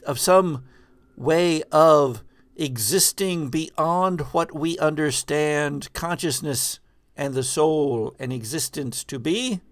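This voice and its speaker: A man playing a spooky, theatrical hologram host in a tabletop game, speaking gravely and portentously with halting pauses. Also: fairly high pitch, dry recording. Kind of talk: old horror-movie monologue in a tabletop game.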